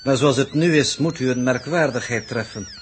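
A man's voice: medium pitch, soft background music, lightly acted. Man as coolly formal, enigmatic space coordinator. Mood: cryptic, detached. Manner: formal, stilted, unhurried. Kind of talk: 1960s Dutch radio drama acting. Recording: old noisy 1960s radio recording.

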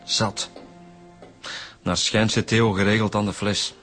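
An actor playing a matter-of-fact detective with a Flemish accent, setting out evidence in a radio drama. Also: male voice, fairly low pitch, little pitch movement, takes it slowly, fairly bright tone, soft background music.

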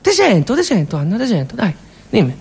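An actor plays a rueful man, hesitating and trailing off as he talks to his partner. His delivery is soft and broken up in a stage piece. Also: high-pitched, wildly swooping pitch, a little fast, slightly rough, heavily acted.

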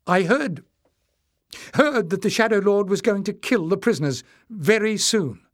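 A man's voice: high voice, lively intonation, normal speed, no room sound, clean studio sound, voice pushed up. A man voicing a fierce, urgent young adventurer woman in an audiobook story. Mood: anxious.

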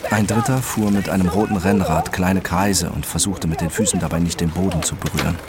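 An actor playing an introspective, obsessive man who narrates his own story in a scripted radio drama, measured and contemplative. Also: deep voice, steady tone, lightly acted. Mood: observant. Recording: narration over very loud music bed.

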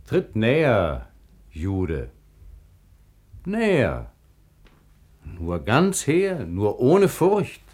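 An actor playing a proud, impatient sultan in classical radio drama, commanding and declamatory. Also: male voice, fairly low pitch, wildly swooping pitch, very slow, long pauses, a little mellow, husky, full character voice.

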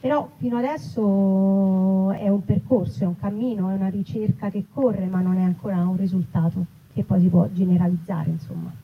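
A woman's voice: medium pitch, fairly even intonation, moderate pace. A woman speaking conversationally and reflectively in an interview, building her thoughts in short phrases. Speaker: writer and actress, interviewee. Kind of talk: casual spoken interview before an audience. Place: live festival talk in an olive grove. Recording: muffled, noisy live event recording.

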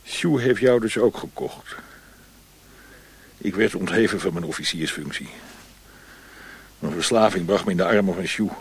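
Bitter addict ex-officer, radio drama, deep creaky voice, world-weary grumble, bit of hiss. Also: male voice, normal speed, pauses often. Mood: sardonic, bitter. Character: cynical, opium-addicted disgraced ex-officer.

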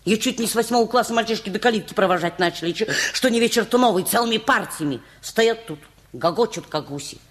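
An actress playing a bossy, indignant middle-aged housewife with a scolding, imperious manner in a radio play. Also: female voice, a little high, animated, fast, close to an everyday voice. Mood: disapproving.

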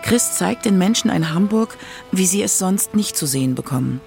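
A woman's voice: fairly low pitch, lively intonation, normal speed, gravelly, darkish tone, faint music behind. A woman narrating a scripted radio documentary in a factual narrator's style.

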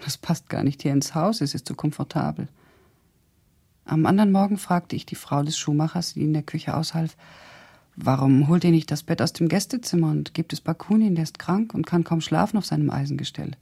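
An actress playing a blunt, sardonic older woman with a husky, gravelly voice, indignantly loyal to an old friend, recounting memories in a German radio drama. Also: low-pitched, dark tone, heavily acted. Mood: reflective, bitter. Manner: blunt, sardonic, deliberate storytelling.

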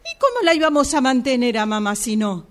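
A woman's voice: high-pitched, big pitch swings, a little fast. A woman with an Argentine accent playing a practical, money-minded sister in an amateur radio drama, matter-of-fact and self-justifying. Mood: defensive.

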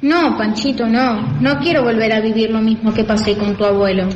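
A woman's voice: fairly high pitch, fairly even intonation, normal speed, darkish tone, lightly acted. A woman playing a strict, hurt mother in an amateur radio drama. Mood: disapproving. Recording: echoey, muffled, faint noise.

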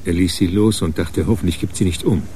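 A man's voice: low voice, fairly quick, warm tone, a little hiss or hum, natural voice. A man narrating a radio crime drama from a script, as a sober storyteller.

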